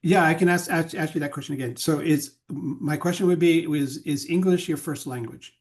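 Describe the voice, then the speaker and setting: A man's voice: a little high, a little fast. A man, a spiritual counselor, speaking on a video call and asking questions with many repeated words. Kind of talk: casual talk on a video call.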